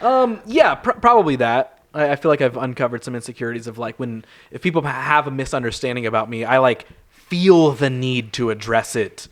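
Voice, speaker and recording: Earnest man co-host, mid-pitched voice. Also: expressive, brisk. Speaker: podcast co-host. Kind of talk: casual chat.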